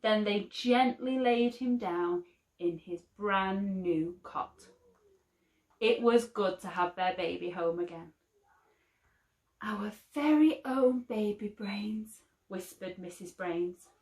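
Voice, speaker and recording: Slow, expressive storybook reader woman. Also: lowish voice. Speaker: teacher. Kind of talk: reading a picture book aloud to children. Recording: roomy echo.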